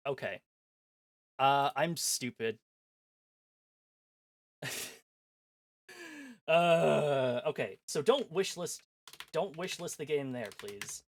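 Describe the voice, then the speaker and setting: A man streaming a visual novel, reading its text aloud and chatting between scenes in an animated, self-mocking, jokey way. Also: a little high, extremely slow, pauses often. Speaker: game streamer. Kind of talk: live-stream chat, reading a game aloud.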